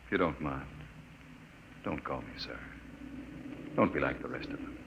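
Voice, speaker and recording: A man's voice: rumbling low, expressive, moderate pace. A man acting an earnest, skeptical off-world archaeologist in a 1950s radio drama. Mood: pleading. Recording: noisy muffled old radio broadcast.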